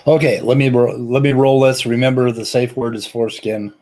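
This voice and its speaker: A man hosting a debate review stream, in a casual, rambling chat with halting restarts. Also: medium pitch, fairly even intonation, warm tone.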